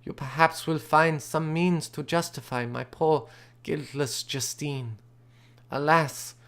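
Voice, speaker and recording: An anxious young woman, earnest and pleading, her voice lifted a little above a plain speaking pitch, as a male reader puts her on. She speaks with smooth, clear warmth and a tender urgency in each line.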